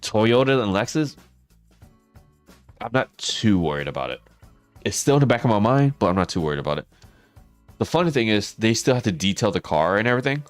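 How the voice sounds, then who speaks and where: A hoarse man, chatting casually with viewers on a livestream and laughing often. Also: fairly low pitch, big pitch swings, a little fast, long pauses, faint music behind. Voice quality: raspy. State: hoarse, losing his voice. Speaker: streamer.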